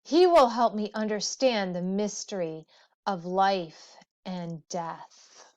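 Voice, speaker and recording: A woman's voice: mid-range voice, takes it slowly, darkish tone, close to an everyday voice. Woman reading as a grieving, earnest hero king. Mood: anguished grief. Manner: dramatic, earnest declaiming. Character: grieving, weary male hero king of ancient legend. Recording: dull, muffled lo-fi audio.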